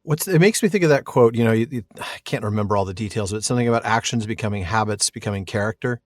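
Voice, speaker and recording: A man's voice: fairly low pitch, very fast, dry recording, clean studio sound. A man co-hosting a podcast, discussing books casually in an agreeable, thoughtful, conversational manner. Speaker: podcast co-host.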